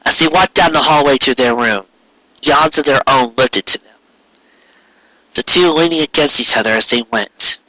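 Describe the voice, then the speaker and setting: Amateur male audiobook narrator: mid-pitched, sharply bright voice, scripted storytelling. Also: moderate pace, pauses often, dry recording, poor audio.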